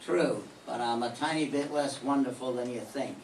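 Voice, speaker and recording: A wry, gruffly affectionate private detective from a vintage radio play, played by an older man. The voice sits mid-range with a dry delivery. It rings in a roomy space with faint noise. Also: medium pitch, normal speed, recorded from a distance, natural voice. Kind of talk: 1940s radio detective acting.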